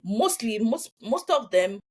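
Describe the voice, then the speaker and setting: A woman's voice: on the high side, sing-song, unhurried. Nigerian-accented woman, chatty interview guest. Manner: chatty, repeats words, checks in with "okay?". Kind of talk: casual conversation.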